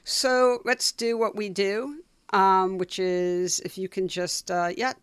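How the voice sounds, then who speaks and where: A woman's voice: mid-range voice, steady tone, takes it slowly, clean tone, dry recording. A woman hosting an interview podcast, easygoing, with fillers as she asks her questions. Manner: easygoing, laughs along, uses fillers. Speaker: podcast host. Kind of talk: casual interview conversation.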